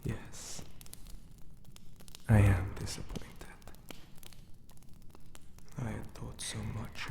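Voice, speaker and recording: A lonely monster guardian of an ancient tomb, acted by a man, speaks in a low, gravelly half-whisper. The delivery is very slow and solemn, with formal, old-world phrasing, in an intimate ASMR roleplay style. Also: background noise. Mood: solemn, disappointed.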